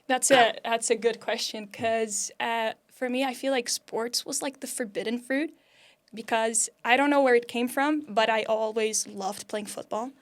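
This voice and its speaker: A woman's voice: a little high, fairly even intonation, moderate pace. Chatty Portuguese woman in her twenties. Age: 20s. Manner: chatty and upbeat, laughs often, many "like" fillers. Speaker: podcast guest. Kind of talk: casual interview chat.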